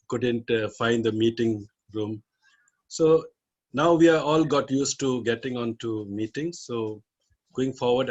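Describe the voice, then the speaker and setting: A man, an area director, giving direct, then enthusiastic, feedback at an online meeting. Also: mid-range voice.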